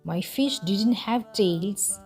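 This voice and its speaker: A woman narrator reading a scripted story aloud for language learners, with deliberate, clearly phrased delivery. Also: medium pitch, darkish tone, soft background music. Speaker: narrator of English learning read-aloud lessons.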